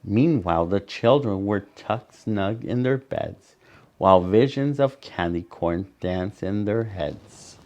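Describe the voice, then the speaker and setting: A man reading a picture book aloud to children as a storyteller, with dramatic pauses and asides to his young listeners. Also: on the low side, lively intonation, a little mellow.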